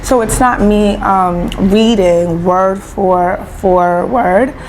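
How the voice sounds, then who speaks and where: A woman's voice: mid-range voice, takes it slowly, a little mellow, slight background noise, rough recording. A woman explaining things as a teacher in an interview, often repeating syllables and words mid-sentence. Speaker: early-childhood teacher being interviewed.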